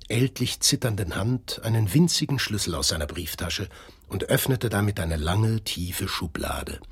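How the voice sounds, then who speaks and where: A man narrating a German literary radio drama in a measured, serious, scripted style. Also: on the low side, husky. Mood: measured, solemn.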